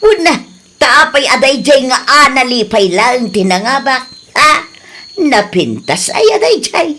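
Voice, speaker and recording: A woman's voice: high-pitched, sing-song, a little slow, bright, forceful tone, a little hiss or hum, heavily acted. A woman with a Filipino accent playing a doting, stubborn old grandmother who complains emphatically in a radio comedy drama. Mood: indignant.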